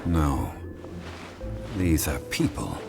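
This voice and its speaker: Deep-voiced, formal, composed gentleman consultant. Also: expressive, creaky, music in the background, heavily acted. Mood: calm. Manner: measured, formal, articulate. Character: calm, formal, learned male consultant on an expedition. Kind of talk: video game voice acting.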